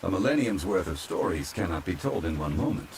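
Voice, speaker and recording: A low, deep male voice over steady rain , a man acting out an ancient, immortal gentleman from a fantasy game. He is calm, courteous and old-fashioned, with formal, measured phrasing meant for relaxing ASMR. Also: a little hiss or hum. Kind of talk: soft ASMR roleplay, fantasy game character. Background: rain falling.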